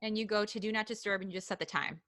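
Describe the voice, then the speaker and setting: A woman co-hosting a podcast, chatting quickly and laughing while she gets a livestream going. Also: medium pitch, fairly even intonation, fairly bright tone. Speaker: podcast co-host. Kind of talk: casual chat setting up a livestream.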